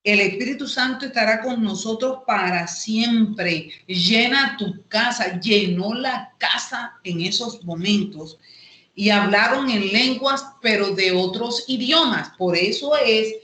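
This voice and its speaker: A woman's voice: a little high, bright, forceful tone. Colombian coastal woman teaching, echoey room. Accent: Colombian Caribbean coast. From Caribbean coast of Colombia. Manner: halting, repeats phrases as she explains. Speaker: Bible seminary teacher. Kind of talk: online Bible lesson, explanatory teaching. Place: indoor room, online class. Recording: echoey room, online call audio.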